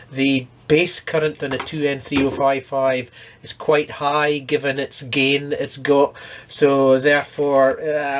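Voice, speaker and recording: A man hosting a casual hands-on repair walkthrough, explaining each step in a matter-of-fact way. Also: on the high side. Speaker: host of an electronics repair channel.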